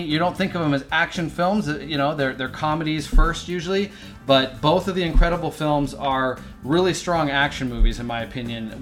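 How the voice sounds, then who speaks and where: A man's voice: a little high, fairly bright tone. A man in his forties co-hosting a movie review, rambling with many fillers and restarts. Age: 40s. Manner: rambling, halting, filler-heavy. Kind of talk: casual chat.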